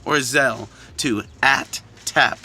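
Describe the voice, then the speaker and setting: A man's voice: mid-range voice, takes it slowly, creaky. A man reading scripted credits as director and producer, formal with playful touches. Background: music bed.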